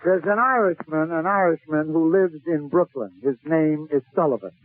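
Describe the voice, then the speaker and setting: A man's voice: on the high side, normal speed, very noisy. A male comedian telling funny stories in a 1940s radio style, with theatrical, short, punchy phrases. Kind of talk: 1940s radio comic storytelling. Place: radio broadcast. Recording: tinny, narrow-band 1940s radio recording.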